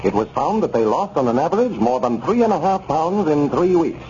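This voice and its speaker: A man's voice: a little high, very animated, a little fast, darkish tone. A man announcing on 1930s radio, formal and declaiming, reading ad copy. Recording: dull 1930s radio broadcast with hiss.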